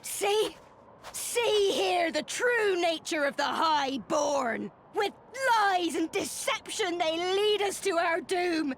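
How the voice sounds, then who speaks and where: Female rebel agitator, impassioned, theatrical, very high voice, deliberate pace, fantasy game. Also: animated, slight background noise, heavily acted. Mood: furious defiance. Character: fervent vengeful female rebel agitator rallying commoners against nobles. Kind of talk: dramatic video game voice acting, impassioned oratory.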